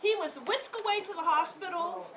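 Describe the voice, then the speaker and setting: A woman reading her story aloud to a live audience in a theatrical, interactive way, with drawn-out emphasis and questions to her listeners. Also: very high voice, a crowd in the background. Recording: distant mic with audience noise.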